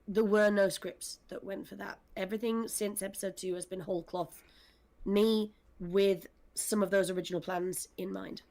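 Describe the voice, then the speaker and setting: A woman with a medium-pitched voice talks casually on a panel as an audio drama writer and director. Her way of talking is dry and wry. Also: steady tone, normal speed, darkish tone.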